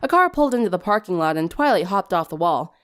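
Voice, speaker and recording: A woman's voice: on the low side, big pitch swings, fast talker, smooth. A woman reading fan fiction aloud as dramatic story narration.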